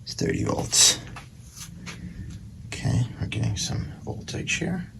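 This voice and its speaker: Deep, gravelly man muttering over a repair. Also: huge pitch swings, takes it slowly, noisy background. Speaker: antique radio repairer, video host. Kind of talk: casual unscripted repair commentary.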